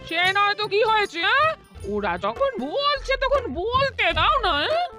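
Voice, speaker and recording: A woman voicing a vain, easily delighted housewife in a cartoon, gushing with drawn-out words. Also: high-pitched, lively intonation, over music, exaggerated voice. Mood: coaxing. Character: vain, delighted housewife, the jester's doting wife.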